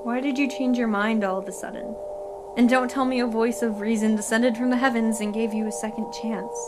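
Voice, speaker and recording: A woman's voice: fairly high pitch, steady tone, a little fast, noisy background, natural voice. A woman playing a caring, anxious young companion to the hero in a fan audio drama. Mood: concerned. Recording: loud music over the voice.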